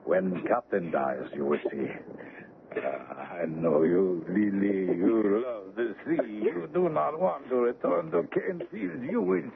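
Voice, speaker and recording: An actor playing a possessive, superstitious, menacing ship's first mate, with intense, ominous delivery in an old-time radio melodrama. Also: male voice, lowish voice, slow, gravelly, a crowd in the background. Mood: ominous, threatening. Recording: tinny, noisy old radio broadcast.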